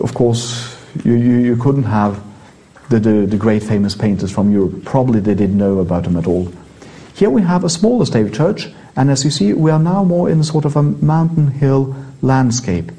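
A man's voice: medium pitch, dark tone. A man with a Norwegian accent, a priest, chatting in an interview and often repeating words as he explains.

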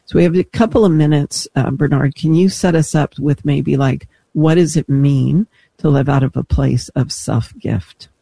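A man hosting a religious talk show in a reflective, explanatory, conversational way with frequent pauses. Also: fairly high pitch, gravelly, warm tone, husky, dry recording. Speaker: radio show host.

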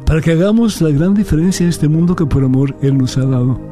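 A man's voice: fairly high pitch, quick pace. A man speaking as a priest and programme host, reverent and conversational. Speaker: priest hosting a call-in programme. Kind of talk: devotional prayer and unscripted interview. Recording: soft new-age music behind the voice. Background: new-age music.

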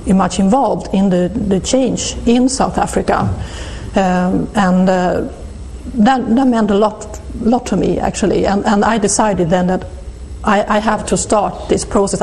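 Swedish lady talking slow and halting, warm soft voice, bit of hiss behind. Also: medium pitch, fairly even intonation, creaky, low-quality recording. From Sweden. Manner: hesitant, halting, many restarts. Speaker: interview guest. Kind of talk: reflective personal testimony.

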